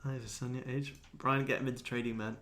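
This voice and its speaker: Man, twenties, livestream host, medium pitch, casual viewer chat, laid-back, blokey, sweary, music in the background. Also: level tone, fast talker, a bit bright. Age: 20s. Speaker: livestream host, day trader.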